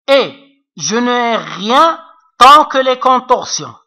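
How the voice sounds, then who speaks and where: A man's voice: very high-pitched, very animated, takes it slowly, bright, forceful tone. A man with an Arabic accent, a native Arabic speaker, explaining French poetry to students in a patient, repetitive way. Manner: patient, repetitive, checks with frequent asides. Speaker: French literature teacher. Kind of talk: classroom explanation.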